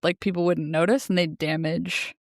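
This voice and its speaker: A woman's voice: lowish voice, fairly even intonation, moderate pace, no echo, clean studio sound. A woman co-hosting a podcast, chatting casually about a book in a jokey, questioning way. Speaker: podcast co-host. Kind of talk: casual book discussion chat.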